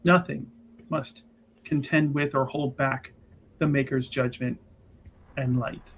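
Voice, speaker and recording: A performer voicing an assured, pious Sister of a fantasy church, with formal and deliberate phrasing, in a tabletop game. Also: mid-range voice, fairly even intonation, a little hiss or hum, low-quality recording. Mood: solemn, pious.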